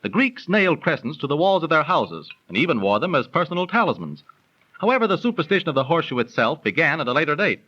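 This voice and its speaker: A knowledgeable storyteller in 1930s radio style. His higher, bright voice swings expressively while he explains things in measured, declamatory phrases, on a muffled old recording. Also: very animated, heavily acted. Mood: earnest storytelling. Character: knowledgeable dinner guest, friendly storytelling bachelor.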